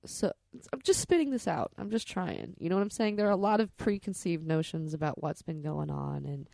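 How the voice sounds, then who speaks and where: A woman's voice: lowish voice, a little fast, creaky, mellow, no echo. A woman podcast storyteller telling personal stories in a candid, rambling, salty-tongued way.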